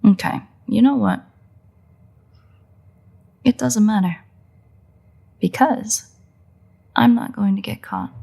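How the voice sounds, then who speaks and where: A woman playing a playful, sardonic, bloodthirsty but secretly caring vampire in a roleplay audio drama, with wry, husky, often breathy delivery. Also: mid-range voice, warm tone, full character voice. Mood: brisk, matter-of-fact. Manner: wry, teasing, unhurried delivery with pauses.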